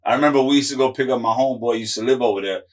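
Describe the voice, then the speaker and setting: A man's voice: mid-range voice, very fast, distant mic. A man in his forties from Miami, a guest telling stories in a loose, rambling way with lots of fillers and slang. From Miami, Florida. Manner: rambling, many fillers and restarts, profane slang. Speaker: interview guest, musical artist. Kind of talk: casual chat, storytelling.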